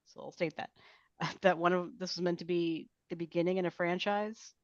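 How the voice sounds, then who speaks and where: Lowish-voiced woman on a dull mic. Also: fairly even intonation, moderate pace, no echo. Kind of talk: casual group discussion. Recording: dull lo-fi microphone, dry.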